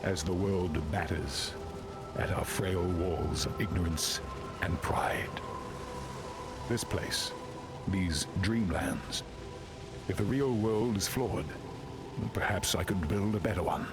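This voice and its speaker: A man's voice: sits low, takes it slowly, pauses often. An actor playing a brooding, grieving father in a fantasy game, delivering a grave, reflective monologue. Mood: mournful, brooding. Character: brooding, grieving father weary of human folly. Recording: loud music over the voice.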